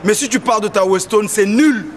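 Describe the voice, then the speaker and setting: A man's voice: high voice, moderate pace. A man answering a street interviewer, urging and repeating himself with fervour, now and then dropping into English. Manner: exhorting, repetitive, mixes in English. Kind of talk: impassioned street vox pop. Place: busy city street outdoors. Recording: loud street noise.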